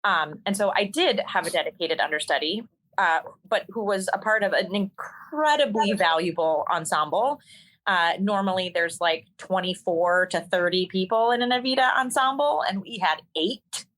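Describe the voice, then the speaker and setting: A woman's voice: mid-range voice, normal speed, a bit bright, rough recording. A woman, a stage actor and singer, chatting casually as an interview guest, with plenty of ums and restarts. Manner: chatty, many fillers and restarts. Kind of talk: casual conversational storytelling.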